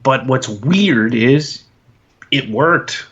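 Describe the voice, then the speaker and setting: A man's voice: medium pitch, lively intonation, drawn-out words, fairly bright tone, muffled recording. A man guesting on a podcast in casual chat, hesitant and repeating words as he thinks.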